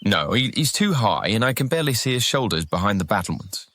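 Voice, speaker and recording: A man's voice: lowish voice, big pitch swings, brisk, fairly bright tone, no room sound, heavily acted. A man playing a reluctant young troublemaker with a grudge, wary and grumbling, in a fantasy audio drama.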